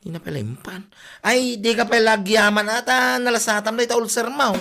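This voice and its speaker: A woman's voice: fairly high pitch, a little fast, gravelly, a bit bright, soft background music. A woman playing an exclamatory, teasing village neighbour with an Ilocano accent in a gossipy comedy drama podcast. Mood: needling.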